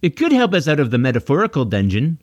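Guy playing a sheepish bully, earnest and matter-of-fact, talks fast, audiobook. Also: fairly high pitch, huge pitch swings, no room sound, voice pushed up. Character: sheepish, earnest bully turned sensitive explainer. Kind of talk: children's audiobook character voice.

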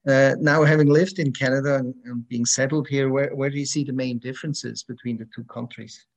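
Man, interviewer, friendly, long questions with fillers, gravelly voice. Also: mid-range voice, fairly even intonation, brisk.

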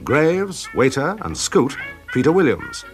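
British male radio announcer, lively intonation. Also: fairly high pitch, a little slow, soft background music. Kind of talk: formal scripted credits announcement.

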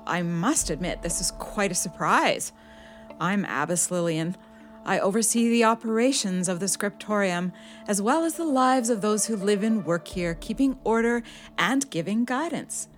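Casting: actress for wise badger abbess, formal and authoritative, medium-pitched voice, children's audio drama with faint music. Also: female voice, animated, moderate pace, lightly acted. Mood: dignified, grave. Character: wise, dignified female badger abbess, calm leader.

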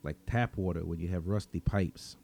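A man narrating a dramatic story in the first person as a cynical, wry, menacing vigilante vampire, with sardonic, slangy delivery and dramatic pauses. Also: sits low, gravelly, warm tone, husky, exaggerated voice. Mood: grim, wry.

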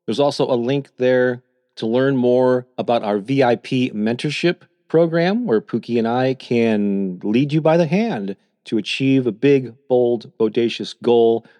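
A middle-aged man reading his own calm, encouraging self-help talk as a podcast host. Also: mid-range voice, unhurried, dry recording. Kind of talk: scripted motivational narration.

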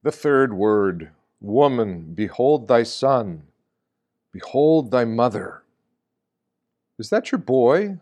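A man reading a reflective first-person meditation from a script, with a measured storytelling delivery. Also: mid-range voice, sing-song, a little mellow. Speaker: reader of meditations.

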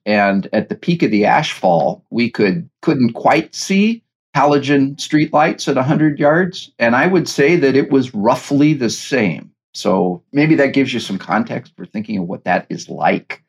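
Gravelly West Coast man in his sixties. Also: lowish voice. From Pacific Northwest, US. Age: 60s. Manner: rambling, repeats words while thinking. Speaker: guest expert. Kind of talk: casual conversational storytelling.

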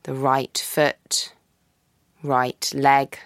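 A woman guiding a yoga nidra practice in a slow, soft, calm voice with long pauses. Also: rumbling low, no echo, clean studio sound. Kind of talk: guided meditation.

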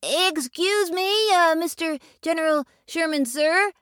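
A woman voicing an eager young boy tree in a storybook reading, with a slow, wide-eyed, sing-song delivery. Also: very high-pitched, a bit bright, dry recording, clean studio sound, voice pushed up. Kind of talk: storybook character voice.

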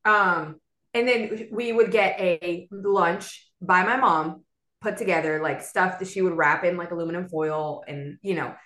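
A young adult woman from South Florida, a bilingual Spanish and English speaker, telling her story as a podcast guest in an animated, chatty way. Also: fairly low pitch, measured pace, roomy sound. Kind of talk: casual conversational storytelling.

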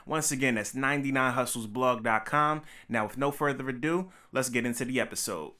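A man's voice: mid-range voice, a little fast. Hyped-up man hosting a podcast. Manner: loud, hype announcer delivery. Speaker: podcast host. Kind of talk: energetic show intro.

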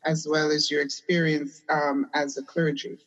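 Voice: mid-range voice, flat delivery, normal speed, a bit bright, lo-fi sound. An interviewer asking polite, brief questions.

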